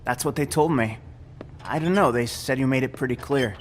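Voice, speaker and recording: A man's voice: mid-range voice, normal speed, slight background noise, close to an everyday voice. An actor playing a meek, dutiful doorman in a video game, polite, hesitant and pleading. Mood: polite, nervous. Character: meek, earnest, dutiful little male doorman.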